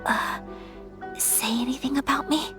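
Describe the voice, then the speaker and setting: A woman playing a refined, shy young noblewoman in an anime game, speaking in a gentle, formal and earnest manner. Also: sits high, fairly even intonation, unhurried, over music. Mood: shy, wistful. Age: young adult.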